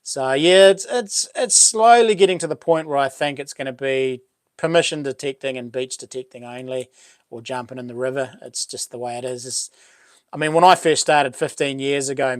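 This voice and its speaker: A middle-aged man with a New Zealand accent and a boomy voice, hosting a relaxed livestream chat, rambling with many ums and restarts as he reads out viewer comments. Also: normal speed, smooth, no room sound, clean studio sound. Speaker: livestream host. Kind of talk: casual live chat reading comments.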